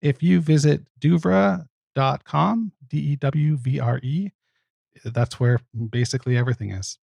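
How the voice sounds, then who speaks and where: A man's voice: a little high, a little slow, vocal fry, darkish tone, dry recording. A man speaking casually as a guest producer in a podcast discussion.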